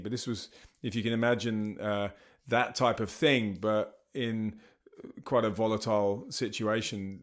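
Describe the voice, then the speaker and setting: A middle-aged man with an English accent, a charity founder chatting as a podcast guest, relaxed and full of surf slang and fillers. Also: on the low side. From England. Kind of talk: casual interview chat.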